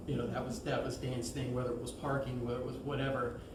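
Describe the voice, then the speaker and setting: A man giving an informal eulogy at a memorial, speaking hesitantly with frequent pauses. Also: mid-range voice, little pitch movement. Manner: hesitant, pausing often. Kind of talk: informal memorial tribute, halting. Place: large room at a memorial service. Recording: echoey, noisy room, far from microphone.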